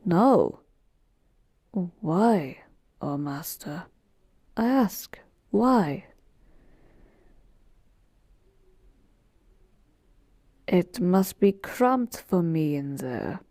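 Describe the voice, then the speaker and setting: A woman playing a polite, weary genie bound to serve, speaking in a soft, measured, wistful way in an intimate audio roleplay. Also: lowish voice, very animated, no room sound, full character voice. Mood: gently rueful. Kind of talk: slow, intimate roleplay monologue to a listener.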